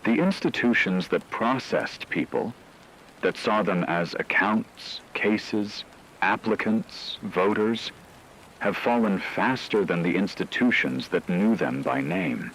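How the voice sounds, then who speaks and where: A man playing a grave radio announcer, reading scripted news in a measured, formal way. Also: on the low side, gravelly, fairly bright tone, slight background noise, full character voice, low-quality recording. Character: grave male radio announcer reporting on global collapse.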